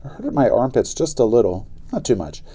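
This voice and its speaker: A man narrating a children's story aloud in an expressive, dramatic way. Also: mid-range voice, brisk, breathy edge. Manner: animated, dramatic delivery.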